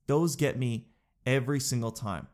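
A man's voice: mid-range voice, normal speed, a little mellow. A man, stuffed up from crying, chatting casually to camera in a self-mocking way. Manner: chatty, self-mocking, says dude a lot. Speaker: vlogger.

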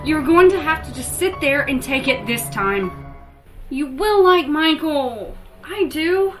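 A calmly sinister young daughter , played by a woman, in a horror radio play. Her voice sits rather high and moves widely in pitch, unhurried and soft-spoken. Soft music runs beneath her lines. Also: exaggerated voice. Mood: pleading, defiant. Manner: soft, measured, quietly menacing. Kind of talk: audio drama acting.

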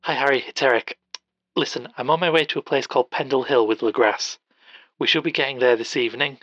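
An earnest man, an actor plays a field reporter leaving informal messages in an audio drama. His medium-pitched voice sounds dry and slightly muffled, like a lo-fi phone recording. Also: a little fast, a bit bright, no echo, lightly acted. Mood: friendly, concerned.

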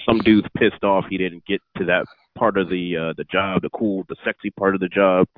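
A man on a casual podcast, joking and spinning a lively story for the group. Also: deep voice, moderate pace, no room sound. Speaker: podcast co-host. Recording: dull lo-fi sound.

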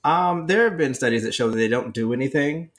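A man's voice: mid-range voice, animated, a little fast. Nasal-voiced young man, self-deprecating chat. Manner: self-deprecating, talks in restarts. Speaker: podcast co-host. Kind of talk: casual chat.

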